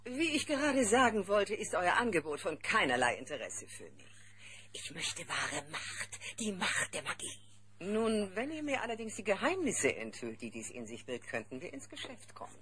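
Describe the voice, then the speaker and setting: Haughty sorceress, dramatically swooping. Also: female voice, medium pitch, very animated, normal speed, fairly bright tone. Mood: silky, scheming. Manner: imperious, purring, theatrical. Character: haughty, power-hungry evil sorceress lady. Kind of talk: children's cartoon audio drama acting.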